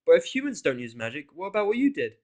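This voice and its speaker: A man voicing an eager, bookish female unicorn scholar with an excitable, inquisitive, lecturing manner. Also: high-pitched, fast talker, pitched above the performer's own voice, exaggerated voice.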